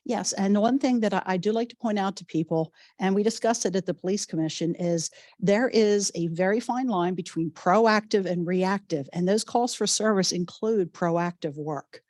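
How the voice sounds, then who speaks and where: A woman police chief, coming down with a cold, giving a measured, explanatory report at a township meeting. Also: medium pitch, darkish tone, dry recording.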